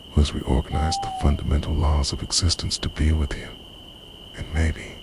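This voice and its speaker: A man playing an obsessive, possessive immortal spirit lover in an intimate ASMR monologue, breathy and often whispering, with intense, dramatic phrasing. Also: extremely low pitch, very noisy, exaggerated voice. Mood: ominous, yearning. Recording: loud night ambience of crickets, owls. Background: crickets, insects and hooting owl.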